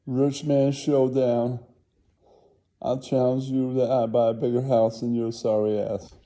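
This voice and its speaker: A man's voice: mid-range voice, flat delivery, unhurried, dark tone, voice pushed up, natural voice. A man voicing a boastful, petty rich airline owner who taunts and bickers in repetitive one-upmanship, in an improvised comic puppet sketch. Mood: boastful, combative.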